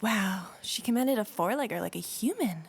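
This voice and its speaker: A woman voicing a snarky, dramatic young sibling bird in an animated short film. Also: mid-range voice, normal speed, creaky, breathy edge. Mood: amazed, anxious. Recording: music behind the voice.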